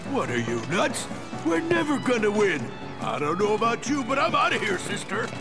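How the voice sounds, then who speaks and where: A man's voice: high-pitched, huge pitch swings, moderate pace, exaggerated voice. A man voicing a brash, cowardly, blustering cartoon villain in a video game. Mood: panicked. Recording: very loud game music behind.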